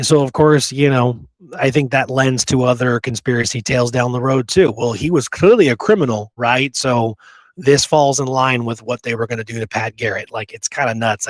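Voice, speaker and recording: Male podcast host, slightly low voice, dry close sound, casual history talk, colloquial, emphatic, frequent check-in questions. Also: no room sound.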